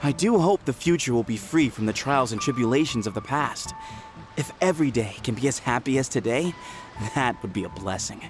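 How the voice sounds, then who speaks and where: A man's voice: mid-range voice, lively intonation, a little fast, close to an everyday voice. A man voicing a hopeful character in a video game who longs for peace. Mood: wistful. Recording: game audio with music.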